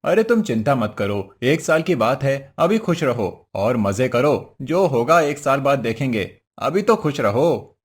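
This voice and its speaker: A man's voice: medium pitch, big pitch swings, a little slow, husky. A man playing a crafty, cheerful village husband in a cartoon moral story, coaxing and exclaiming in an exaggerated way. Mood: reassuring.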